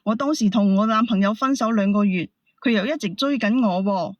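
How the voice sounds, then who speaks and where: A woman's voice: mid-range voice, a little fast, dry recording, highs cut off. A woman with a Hong Kong Cantonese accent explaining herself as a caller, defensive and protesting. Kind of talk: heated personal explanation.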